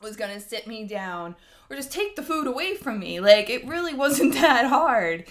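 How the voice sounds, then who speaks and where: A woman's voice: fairly high pitch, sing-song, normal speed, crowd noise. A woman telling personal stories casually as a podcast co-host, with a wry, self-deprecating and emphatic manner.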